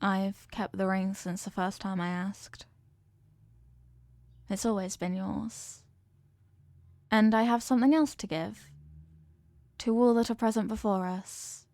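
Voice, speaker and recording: A woman's voice: mid-range voice, fairly even intonation, slow, pauses often, dark tone, no echo. A woman voicing an obsessively loving evil queen in a roleplay audio drama, speaking formally and measuredly with imperious, veiled menace. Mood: calm, commanding. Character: possessive yandere evil queen, regal, obsessively in love. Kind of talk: scripted roleplay monologue, ASMR audio drama.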